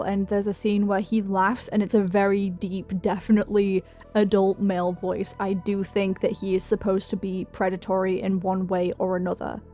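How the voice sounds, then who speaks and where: A woman with a medium-pitched voice narrates a video essay over music. She reads from a script but keeps it informal and chatty, slipping in casual, self-deprecating asides like a channel host talking to viewers. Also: mellow.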